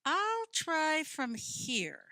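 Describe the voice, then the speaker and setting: A woman's voice: high-pitched, huge pitch swings, drawn-out words, pushed and bright, dry recording, studio quality, heavily acted. An actress in a radio play playing a skeptical, stubborn, gloomy woman who questions and argues. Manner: querying, argumentative.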